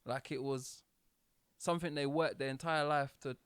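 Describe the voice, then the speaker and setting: A man's voice: fairly high pitch, steady tone, normal speed, a bit bright, clear, resonant tone, no room sound. A young man co-hosting a podcast, teasing and arguing his point in casual chat. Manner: teasing, argumentative, talks over. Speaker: podcast co-host.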